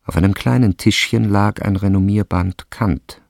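A man narrating a literary radio play in a measured, precise manner, with a creaky voice. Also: on the low side, fairly even intonation, a little mellow, no echo. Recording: studio voice with music behind. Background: music.

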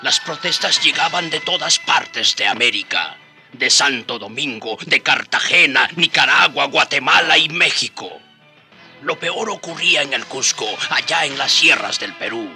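A man narrating a historical radio drama with expressive, solemn, dramatic storytelling. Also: mid-range voice, a little slow, soft background music.

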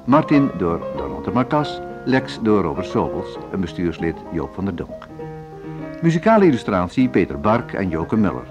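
A man reading credits as a radio drama announcer, in a measured, formal style. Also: low-pitched, big pitch swings. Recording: dull lo-fi radio recording. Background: music.

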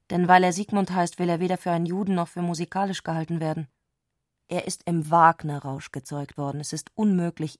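An actress playing an eccentric, excitable woman speaking an intimate letter in intense, abrupt short sentences, in a literary radio play. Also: fairly low pitch, dry recording, studio quality, natural voice. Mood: restless recounting.

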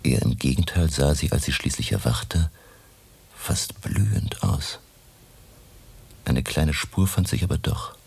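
An earnest older professor for a radio play. His voice is extremely deep and gravelly, with a husky grain. He talks deliberately, with old-fashioned courtesy. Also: lively intonation, darkish tone, exaggerated voice. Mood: measured, absorbed. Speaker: actor in radio drama. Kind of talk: German radio play acting.

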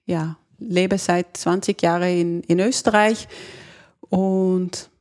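A woman's voice: fairly low pitch, fairly even intonation, slow pace, darkish tone. Woman with a Dutch accent. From Netherlands. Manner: thoughtful, searching for words, occasional grammar slips. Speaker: ergonomist, guest. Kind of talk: conversational interview.

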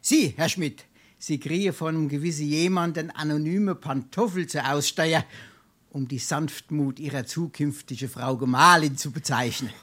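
A man's voice: on the high side, moderate pace, fairly bright tone. A man playing a wily, shameless scrounger in a Darmstadt dialect stage comedy, pompous and full of flowery courtesy. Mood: wounded dignity. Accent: Darmstadt Hessian. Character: wily, shameless male scrounger and bon vivant.

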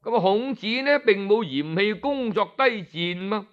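Animated, high-pitched Cantonese male storyteller. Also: muffled recording. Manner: conversational, explanatory, with drawn-out phrase-end particles. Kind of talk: Cantonese oral storytelling, scripted yet colloquial narration.

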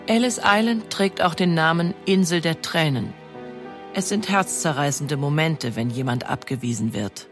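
A narrator reading a scripted history documentary in a measured, explanatory way. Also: mid-range voice, music in the background.

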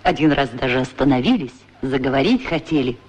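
An actor playing a pair of talking shoes in a radio play, frank, familiar and full of questions. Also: male voice, medium pitch, fairly quick, darkish tone, slightly rough, muffled recording, natural voice. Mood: reproachful. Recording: 1960s radio drama recording.

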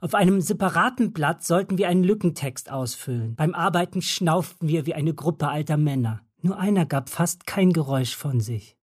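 An actor playing a grown narrator who recalls a village childhood in measured, reflective radio drama storytelling. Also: medium pitch, expressive, slightly rough.

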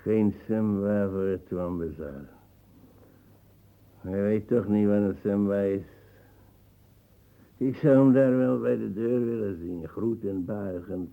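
An actor playing a proud, defensive old colonial ex-soldier uncle in a radio play, talking in hesitant, scornful bursts. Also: male voice, on the low side, slow pace, creaky, long pauses, phone-quality sound. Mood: nostalgic, boastful. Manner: hesitant fillers, tag words, scornful laughing.

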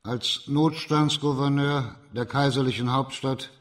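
Judge-investigator, male actor, radio crime drama. Middle-pitched, stern, measured, with formal authority. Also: steady tone, lightly acted.

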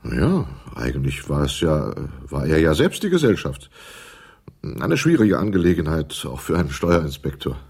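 Smooth, evasive accountant for radio crime play: low husky voice, deliberate hedging delivery. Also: male voice, wildly swooping pitch, darkish tone, full character voice. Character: evasive, smooth male accountant explaining dodgy finances. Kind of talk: 1980s German radio crime drama dialogue.

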